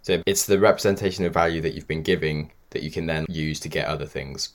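A man with an extremely deep, low voice talks as a podcast co-host. His delivery is casual and agreeable, with slightly hesitant, loose phrasing. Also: fairly even intonation, fast talker. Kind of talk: casual chat.

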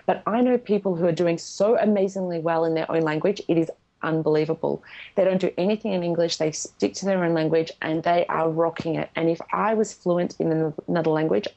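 A woman's voice: low-pitched, creaky, a little mellow, highs cut off. A woman, a guest in a podcast interview, speaking frankly and with emphasis. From Australia.

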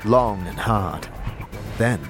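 A man's voice: fairly low pitch, animated, extremely slow. A man giving dramatic, scripted second-person narration for a fantasy audio drama. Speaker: narrator and player character. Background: music.

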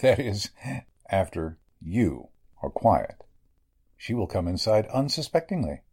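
A man's voice: on the low side, big pitch swings, darkish tone, breathy edge, full character voice. A man playing a sinister, murderous doctor in a 1940s-style radio drama, speaking in a formal, condescending way. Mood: calm menace. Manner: formal, measured, condescending villain speech. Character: sinister murderous male doctor, coolly menacing narcotics dealer.

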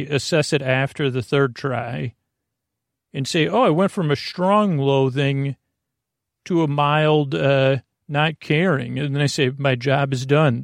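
A man with a gravelly voice hosting a sleep podcast, rambling through a bedtime monologue, over-explaining and trailing off mid-thought. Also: a little high, dry recording, studio quality. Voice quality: gravelly, creaky. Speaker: podcast host.

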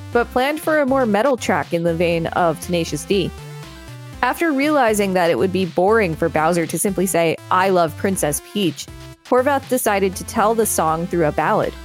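A woman co-hosting a podcast, reading encyclopedia text aloud with conversational asides. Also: medium pitch. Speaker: podcast co-host. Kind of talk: reading encyclopedia pages aloud with casual commentary.

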